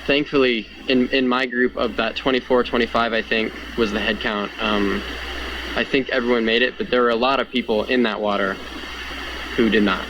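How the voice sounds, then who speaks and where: A man's voice: mid-range voice, level tone, normal speed. A young man giving an earnest, conversational personal account in an interview, often saying "like" as he goes. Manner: earnest, conversational, frequent 'like'. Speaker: fire survivor being interviewed. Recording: clear background noise.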